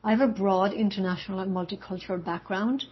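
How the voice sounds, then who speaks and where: German-born woman, english teacher giving a talk, very breathy voice, lots of ums, dull lo-fi sound. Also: medium pitch, fairly even intonation. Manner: measured, with frequent um and uh pauses. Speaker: university English instructor. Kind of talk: prepared talk. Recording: dull lo-fi audio.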